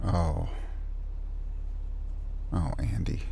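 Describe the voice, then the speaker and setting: An actor playing a gentle, flustered male scientist in an audio drama, hesitant, stammering and soft-spoken. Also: medium pitch, animated, measured pace, a little hiss or hum. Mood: quiet, concerned.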